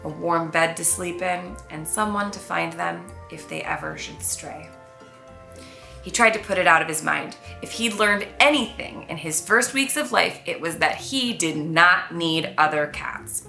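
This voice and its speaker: Bright, creaky-voiced woman reading a storybook. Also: on the low side, measured pace. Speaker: author reading her children's book. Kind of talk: expressive storybook read-aloud. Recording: roomy echo, faint music behind.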